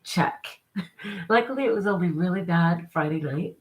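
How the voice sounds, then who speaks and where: A woman's voice: on the low side, measured pace. A congested, middle-aged woman with a cold, chatting casually and upbeat on a live stream, laughing between remarks. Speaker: vlogger.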